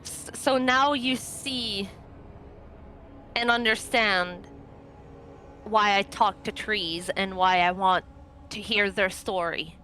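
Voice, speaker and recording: A woman speaking in character as a player in a tabletop roleplaying game, deliberate and reassuring. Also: medium pitch, pushed and bright, slight background noise. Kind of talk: improvised in-character conversation.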